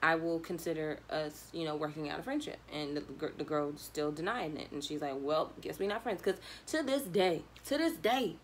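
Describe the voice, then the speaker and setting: A young woman with a notably low voice tells a story as if chatting to friends. Her delivery is slangy and animated, slipping into quoted back-and-forth dialogue. There is clear ambient noise behind her. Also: moderate pace, few pauses. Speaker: vlogger telling a personal story. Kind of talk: casual conversational storytelling.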